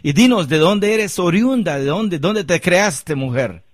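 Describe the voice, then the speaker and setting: A man hosting a live radio interview show, effusive and sing-song, with lots of shoutouts. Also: sits high, animated, a little slow, dry recording, clean studio sound. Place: radio studio.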